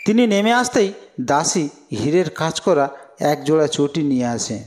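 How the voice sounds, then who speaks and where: A man's voice: fairly high pitch, animated, a little slow, a little mellow. A man narrating a scripted fairy tale as a storyteller. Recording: long reverb, music behind. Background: clear music.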